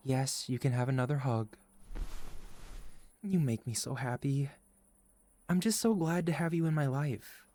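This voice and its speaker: A man playing a sweet, caring, gently teasing boyfriend in a soft, reassuring ASMR roleplay. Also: medium pitch, lightly acted. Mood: relieved, teasing. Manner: soft, tender, reassuring, playful. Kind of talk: ASMR boyfriend roleplay, intimate scripted monologue.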